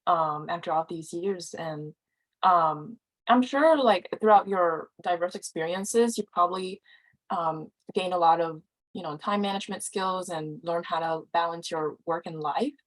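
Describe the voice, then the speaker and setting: A woman's voice: lowish voice, unhurried, low-quality recording. A woman interviewing a guest writer, asking friendly questions full of "um" and "like". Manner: friendly, filler-heavy questions. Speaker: student interviewer.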